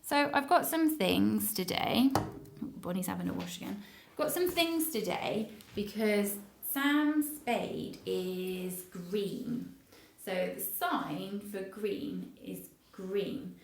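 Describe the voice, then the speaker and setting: A woman's voice: medium pitch, lively intonation, takes it slowly, gravelly, a little hiss or hum. A woman reading a story and chatting to young viewers in simple, child-directed phrases. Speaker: playgroup story reader and presenter.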